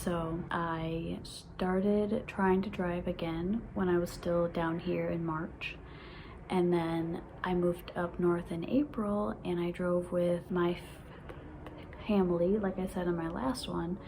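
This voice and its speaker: A woman in her twenties who has a stutter, excitedly chatting to her viewers in a casual vlog. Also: fairly low pitch, takes it slowly, background noise. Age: 20s. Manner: stutters, with repeated sounds and restarts; excited, laughs often. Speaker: vlogger.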